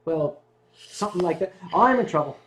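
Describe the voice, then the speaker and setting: A man hosting a casual livestream, joking and swearing freely, with bursts of rapid talk. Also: sits high, lively intonation. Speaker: livestream host. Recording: roomy room with loud guitar music. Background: guitar music.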